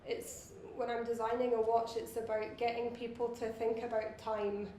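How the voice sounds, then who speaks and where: A woman's voice: fairly high pitch, level tone, normal speed, dark tone, roomy sound, slight background noise. A Scottish woman in her thirties, a watch designer answering interview questions in long, thoughtful explanations. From Scotland.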